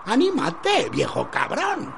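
Radio parody role: cheerful condemned philosopher acted out by a performer, goading, wildly swooping pitch, slow theatrical phrasing, noisy recording. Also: huge pitch swings, vocal fry, exaggerated voice. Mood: rousing, goading.

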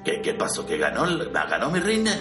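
A man's voice: mid-range voice, wildly swooping pitch, fairly quick. A man with a Spain Spanish accent playing a boastful, irritable, foul-mouthed fallen conqueror in an amateur parody audio drama, blunt and demanding. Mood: incredulous, impatient. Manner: blunt, demanding, incredulous, swaggering. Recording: roomy, distant mic, music underneath.

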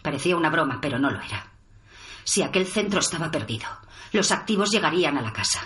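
An actress playing a stern, authoritative special forces squad leader in a radio drama, with firm, clipped military speech. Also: female voice, low-pitched, lively intonation, fast, a bit bright. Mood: grave, reporting.

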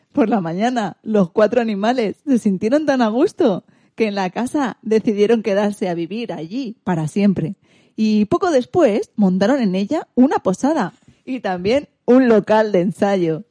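A woman's voice: mid-range voice, mellow, dry recording, studio quality. A woman narrating a fairy tale for radio theatre in a storybook style.

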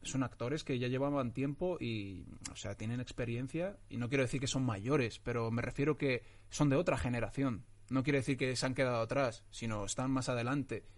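A young man talks through an interview at an ordinary pitch. He keeps restarting his thoughts, and fillers pile up as he works toward his point. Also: moderate pace, few pauses. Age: 20s. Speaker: actor guest. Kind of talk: casual interview conversation.

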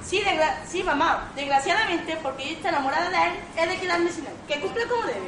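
A woman's voice: very high voice, expressive, fast. A woman in an amateur stage farce playing an earnest young bride-to-be, theatrical and declamatory, in an echoey noisy hall.

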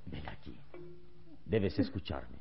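An actor playing a serene, heroic man in a radio serial, speaking in a solemn, measured, dramatic way. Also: a bass voice, lively intonation, creaky, heavily acted. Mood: calm, consoling. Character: serene, heroic adult man consoling his young companion. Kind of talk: Spanish-language radio serial acting. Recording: dull old radio recording with music.

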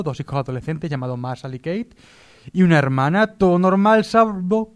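Higher-voiced man hosting casual radio. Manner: informal, jokey, rambling. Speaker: radio show host. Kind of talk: casual pop culture review.

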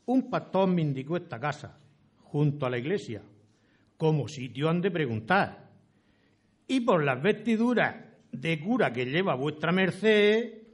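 Man playing a slow-talking rustic settler, higher-pitched. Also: very animated. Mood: hopeful, respectful. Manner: rustic, old-fashioned turns of phrase. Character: respectful, inquisitive rustic male settler seeking land. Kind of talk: amateur historical radio drama acting.

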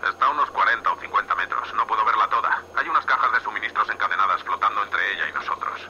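A man playing a gruff, seasoned spaceship captain in an audio drama, curt, practical and dryly sardonic. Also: lowish voice, fast talker, close to an everyday voice. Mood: focused. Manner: curt, commanding, dry. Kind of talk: audio fiction acting. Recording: dull, muffled band, light noise.

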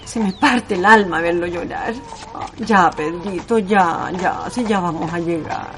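A woman's voice: fairly low pitch, animated, unhurried, background noise. A woman playing a caring, insistent city woman in a radio drama, with a Chilean accent and an earnest, urging delivery. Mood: worried. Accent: Chilean Spanish.

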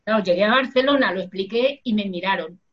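A woman's voice: mid-range voice, fast talker. Female co-host from Madrid, outspoken and teasing, dull muffled sound. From Madrid, Spain. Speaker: podcast co-host. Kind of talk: casual group chat.

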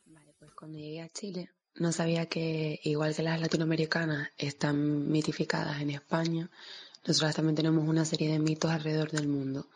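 Female guest, Canarian Spanish accent, low flat delivery, reflective testimony with hesitations. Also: a little fast, husky. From Canary Islands, Spain. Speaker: guest giving a recorded testimony. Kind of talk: reflective personal account.

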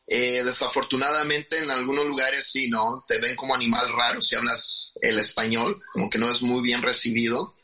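A middle-aged man with a Mexican Spanish accent tells his story as a chef. His tone is strikingly bright, his pitch sits in the middle, and he talks in a candid, meandering way. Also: little pitch movement, moderate pace, hardly any pauses, low-quality recording. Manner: candid, rambling, frequent tag questions. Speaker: chef and restaurant owner. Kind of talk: interview answers.

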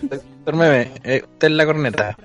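A man's voice: a little high, unhurried, fairly bright tone, highs cut off, soft background music. A man chatting casually and jokingly as a comedy show panelist.

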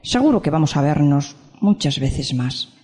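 A woman's voice: low-pitched, very animated, moderate pace, mellow. A woman with a Spain Spanish accent playing a contented, wistful woman in an amateur radio drama. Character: contented woman back from a weekend away.

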